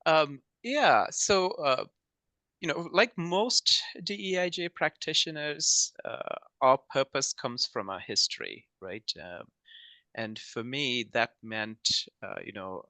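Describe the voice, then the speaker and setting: A man's voice: medium pitch, animated, takes it slowly, bright, forceful tone, no echo. A man in his forties from Sri Lanka, talking as a guest in a reflective, hesitant way. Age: 40s. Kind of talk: conversational interview.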